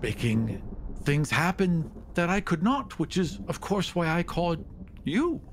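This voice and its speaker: A man playing a proud, scheming mortal petitioner in a tabletop fantasy game, with a theatrical, halting delivery. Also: a little high, very animated, slight background noise. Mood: earnest persuading. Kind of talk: tabletop roleplay acting.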